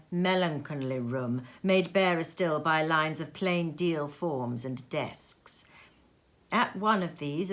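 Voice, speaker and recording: A woman narrating a classic story aloud in an expressive, dramatic storybook style with deliberate phrasing. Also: lowish voice. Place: sitting room at home.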